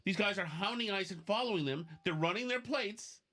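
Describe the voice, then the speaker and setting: A man hosting a podcast in casual, opinionated banter, excitable and freely swearing. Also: sits high, fairly quick. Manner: profane, excitable. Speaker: podcast host.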